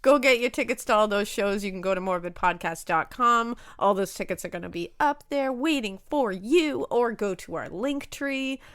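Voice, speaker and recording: Brief: Boston woman, podcast co-host, casual, animated, dry studio sound. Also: medium pitch, moderate pace, few pauses, clean tone, dry recording. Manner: animated, swears freely, laughs often.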